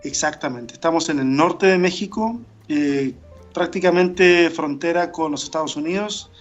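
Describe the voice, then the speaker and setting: A man with a fairly high voice, talking as a radio guest, thoughtful and full of hesitations and restarts. His voice comes over a dull, lo-fi remote line. Soft music plays faintly underneath. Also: moderate pace. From born in Argentina, raised in Chile. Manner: reflective, many fillers and restarts. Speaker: pastor and interview guest. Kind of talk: casual interview over a remote call.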